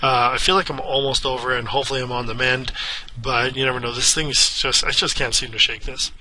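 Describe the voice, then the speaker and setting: A man with a raspy, hoarse voice from a lingering cough, casually explaining a card game deck as a channel host, with frequent "okay" and "right" check-ins. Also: medium pitch, fairly even intonation, fast, sharp tone, rough recording. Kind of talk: casual deck explanation over screen capture.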